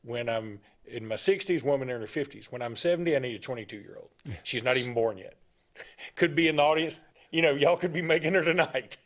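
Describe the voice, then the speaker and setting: A man's voice: medium pitch, brisk, fairly bright tone. A middle-aged man, co-hosting a casual sports talk show, rambling and opinionated with a joking edge.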